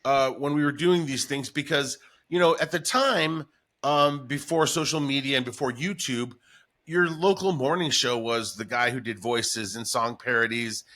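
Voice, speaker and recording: A man's voice: mid-range voice, moderate pace, bright, forceful tone. A middle-aged man talking casually and enthusiastically as a guest in an interview, reminiscing in a chatty way. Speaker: radio morning show comedian, guest.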